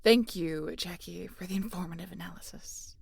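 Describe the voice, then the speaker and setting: A woman's voice: fairly low pitch, normal speed, gravelly, breathy edge. A woman playing a guarded, sarcastic starship captain and biologist in a sci-fi audio drama, dry and often muttering. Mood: skeptical, guarded. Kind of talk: scripted sci-fi audio roleplay.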